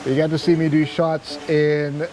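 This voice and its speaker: A man's voice: a little high, level tone, moderate pace, a crowd in the background. A man from Trinidad hosting a travel vlog, chatting casually and with enthusiasm.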